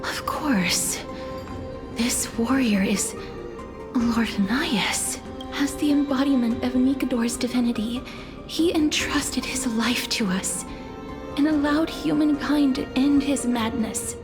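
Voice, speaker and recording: A woman's voice: high voice, slow pace. An actress playing an earnest young woman who explains and wonders aloud. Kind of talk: game voice acting. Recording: game music behind.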